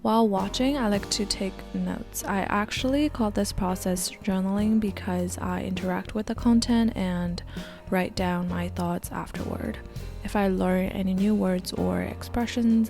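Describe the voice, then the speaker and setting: Woman in her 20s from Canada, soft near-whisper voice-over, vocal fry, mid pitch. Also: steady tone, unhurried, over music. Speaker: language learning vlogger. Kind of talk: scripted voice-over narration.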